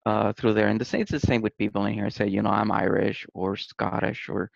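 A low-voiced man with a gravelly edge, talking haltingly with lots of fillers. The recording is dry and dull, with a lo-fi feel. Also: level tone, no room sound. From Puerto Rico. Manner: hesitant, many fillers and repeated words. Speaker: podcast guest. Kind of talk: casual conversation.

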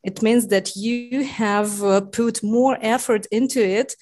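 A woman in a casual discussion, talking in measured, thoughtful phrases with frequent pauses and fillers. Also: fairly high pitch, steady tone, a little mellow. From Ukraine or Russia region.

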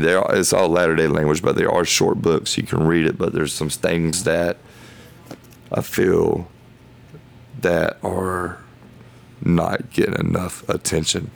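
A man with an extremely deep, heavily gravelly voice talks through scripture in a casual podcast. His delivery is flat and halting as he thinks aloud in loose phrases. He sounds hoarse, as if his voice is going. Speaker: podcast host. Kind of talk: casual Bible study talk.

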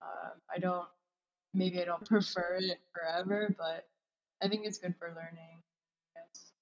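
A woman's voice: fairly low pitch, level tone, a little slow. A young woman from California, a podcast guest speaking candidly and bluntly with casual swearing. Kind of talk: casual chat. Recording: dull lo-fi audio.

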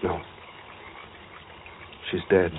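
An actor playing an escaped madman and killer in an old-time radio suspense drama, calm, brooding and menacing, in short wistful phrases. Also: very slow, narrow, tinny audio. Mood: bitter, brooding. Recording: thin band-limited old radio recording, faint noise.

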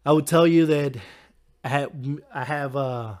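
A young man with a hoarse, recovering voice, hosting a solo podcast in a rambling, halting way with frequent chuckles. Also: on the high side, darkish tone. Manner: chuckles often. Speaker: podcast host. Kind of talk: casual solo podcast talk.

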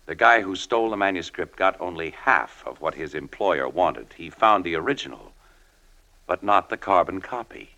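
Low-voiced, hard-edged American newspaperman. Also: expressive, moderate pace, bright, forceful tone, lo-fi sound, exaggerated voice. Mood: cool, needling. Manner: slangy, cool, needling. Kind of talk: 1940s radio drama acting.

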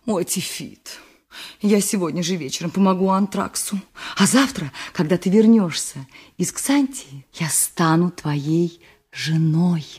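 An actress playing a devoted, naive maidservant in love with a sailor, speaking in a dreamy, sentimental radio play manner. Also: female voice, on the low side, a little slow, darkish tone, breathy edge. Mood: wistful, tender. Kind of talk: Soviet radio play acting.

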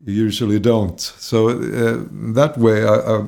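A man's voice: lowish voice, little pitch movement, a little slow, gravelly, a little mellow. A man with a Swedish accent talking about his singing career in English, hesitant and full of fillers and repeated words. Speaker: singer, interview guest. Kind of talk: casual conversational interview.

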